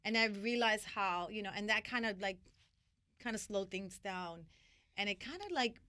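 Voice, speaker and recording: Middle-aged New York woman, interview guest; bright, crisp voice, dry close sound, loose animated storyteller. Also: mid-range voice, moderate pace, no room sound. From New York (Washington Heights). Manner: animated, rambling, many fillers and restarts. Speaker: interview guest, magazine founder.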